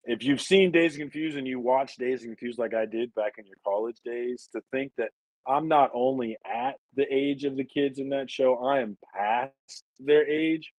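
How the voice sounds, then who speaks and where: A deep-voiced man in his forties, chatting loosely as a co-host. His delivery is wry and rambling, and the recording is dry and close with no room sound. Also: darkish tone, no echo, rough recording. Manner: laid-back, rambling, wry. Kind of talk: casual chat.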